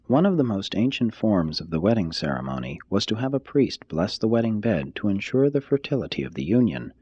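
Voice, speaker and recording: Low husky guy narrating a text audiobook style, kinda dull dry recording. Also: sing-song, a little fast, mellow, no room sound. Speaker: reader, narrator. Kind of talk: scripted reading of an expository text, audiobook-like.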